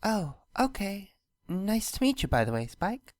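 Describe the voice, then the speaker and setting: A gentle young pony princess from a storybook, acted out by a reader, speaking high and soft. She is shy and wistful, with a formal, courtly way of putting things. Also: very animated, measured pace, dry recording, clean studio sound. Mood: shyly pleased.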